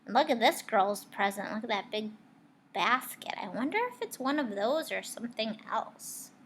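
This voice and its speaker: A woman teacher reading a picture book aloud, expressive, pausing to put questions and asides to her young listeners. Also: a little high, slight background noise. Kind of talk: read-aloud storytelling with asides to children.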